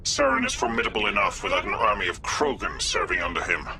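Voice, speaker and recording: A man's voice: fairly low pitch, huge pitch swings, fast talker, background noise. A man playing a condescending, skeptical alien official in a video game, dismissive and sardonic. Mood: scornful. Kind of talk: video game character acting.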